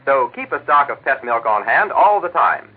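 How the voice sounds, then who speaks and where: A man's voice: medium pitch, big pitch swings, moderate pace, slightly rough. A man working as a 1940s radio announcer, reading advertising copy with polished, upbeat salesmanship. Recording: tinny, muffled 1940s radio recording.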